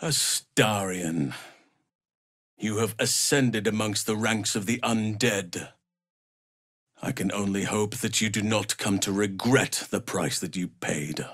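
An actor playing a gentle, solemn, burly elf druid in a fantasy video game, speaking in an earnest, measured and formal way. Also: male voice, lowish voice, no room sound, clean studio sound.

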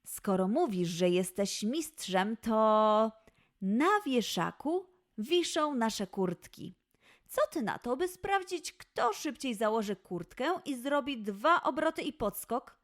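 A woman's voice: on the high side, expressive, slow. A woman voicing a playful, encouraging mother lioness who coaxes with game-like challenges in a children's audio play. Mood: playfully challenging.

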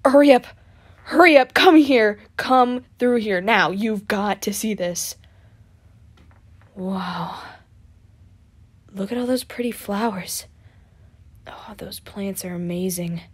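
A woman playing a playful, excitable young male adventurer in an audio roleplay, chatty and expressive, affectionate with a partner. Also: medium pitch, slow, pauses often. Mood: excited. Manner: breezy, giggly, expressive, chatty.

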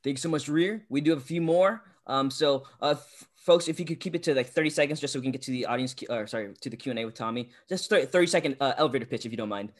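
A man hosting a video call, briskly calling on each speaker in turn. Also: medium pitch. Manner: brisk, keeps things moving. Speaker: host.

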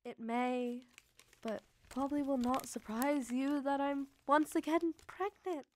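Radio drama: devoted young Victorian mother, slightly high voice, emotive and intimate letter reading. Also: fairly even intonation, measured pace, slight background noise. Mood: sad, resigned.

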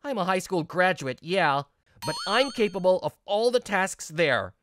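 A woman's voice: fairly low pitch, a little slow, a little hiss or hum. A woman voicing an earnest, stressed young male office worker, narrating and acting in a manga video. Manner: earnest, expressive. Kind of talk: first-person narration and dialogue in manga video.

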